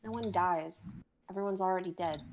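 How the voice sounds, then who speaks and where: A woman's voice: fairly low pitch, a little fast, darkish tone, a little hiss or hum, natural voice. Breezy underworld guide read by a woman. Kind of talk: dramatic script reading.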